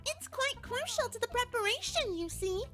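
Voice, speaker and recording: A woman voicing a bubbly, sweetly sinister young pony baker in a squeaky, childlike voice for a fan audio drama. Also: expressive, moderate pace, a bit bright. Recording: music behind the voice.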